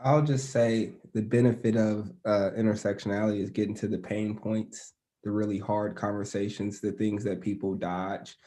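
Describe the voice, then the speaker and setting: A man panelist explaining his point in a measured way, through an extended example. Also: on the low side, little pitch movement, dark tone. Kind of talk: panel discussion.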